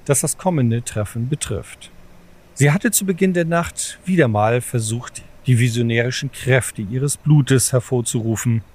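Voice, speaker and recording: A man's voice: mid-range voice, darkish tone. A man narrating a scripted audio drama as its storyteller.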